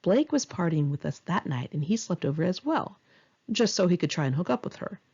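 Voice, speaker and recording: Husky, lively woman reading memoir. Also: fairly low pitch, a little fast. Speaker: author reading a memoir chapter. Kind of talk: memoir narration, conversational read-aloud. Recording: dull, lo-fi home recording.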